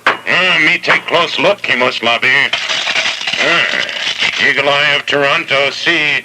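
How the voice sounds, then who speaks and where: A deadpan clipped-talking sidekick. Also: male voice, mid-range voice. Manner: halting, clipped, full of "uh". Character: deadpan male sidekick scout, clipped broken speech. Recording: hissy 1970s radio tape.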